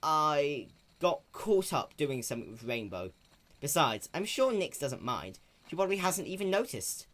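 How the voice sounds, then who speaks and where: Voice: mid-range voice, very animated, normal speed, fairly bright tone, pitched above the performer's own voice. A performer voicing a casual, apologetic young boy dragon with a youthful, slightly whiny manner. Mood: defensive, casual.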